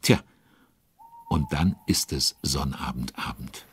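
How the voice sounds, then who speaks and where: A man narrating a children's audio drama as a chatty, involved storyteller with conspiratorial asides and a husky voice. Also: very deep voice, slow pace, fairly bright tone, exaggerated voice. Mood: chatty, musing.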